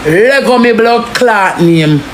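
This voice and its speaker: A Jamaican woman delivers a forceful, impassioned rant in casual street talk. She curses heavily and hammers phrases by repeating them. The recording is echoey, with clear noise behind her voice. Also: medium pitch, expressive, slow. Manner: forceful, heavy cursing, repeats phrases for emphasis. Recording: roomy echo, clearly noisy background.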